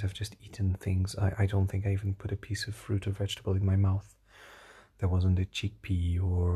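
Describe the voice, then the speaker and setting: A tired young man with a slightly hoarse voice, rambling hesitantly through a casual diary vlog with many fillers and restarts. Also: sits low, dark tone. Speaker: vlogger.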